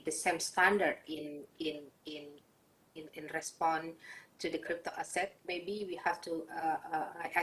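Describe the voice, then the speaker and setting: A woman's voice: lowish voice, fairly bright tone, roomy sound, slight background noise, low-quality recording. A woman, answering questions as a webinar panelist, hesitant with many fillers and restarts.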